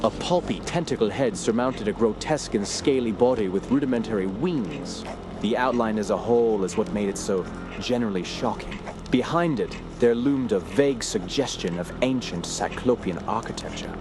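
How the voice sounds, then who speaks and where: An actor playing a grave, brooding gentleman scholar who narrates a horror audio drama in a formal, measured, ominous way. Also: on the low side, music in the background, close to an everyday voice. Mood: uneasy, puzzled.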